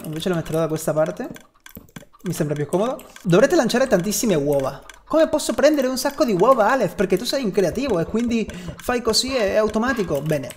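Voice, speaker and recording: A man's voice: high voice, a little mellow. Chatty young man, noisy home recording. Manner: chatty, repeats himself, mutters mild swear words. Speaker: gaming YouTuber. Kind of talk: casual spoken Minecraft building tutorial. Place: small room at home. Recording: clear background noise, keyboard and mouse clicks.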